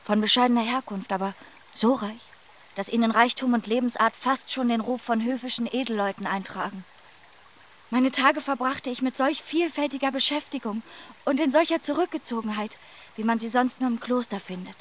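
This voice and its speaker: Woman acting a sorrowful young woman, highish voice. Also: moderate pace, slight background noise, close to an everyday voice. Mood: sorrowful, grave. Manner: formal, solemn, lamenting. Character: sorrowful, wronged young woman of refined speech. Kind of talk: German radio play acting. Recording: music behind the voice.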